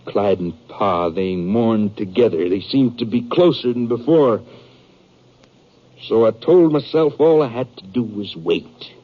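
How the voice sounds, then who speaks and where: An actor playing a bitter, brooding western outlaw in a 1950s radio drama, speaking in halting, rustic, confessional fashion. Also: male voice, fairly low pitch, lively intonation. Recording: dull 1950s radio recording.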